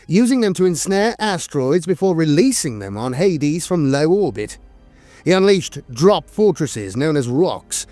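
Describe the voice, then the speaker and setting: A man's voice: a little high, a little mellow, no echo. A man narrating scripted lore in a dramatic, wry storytelling manner.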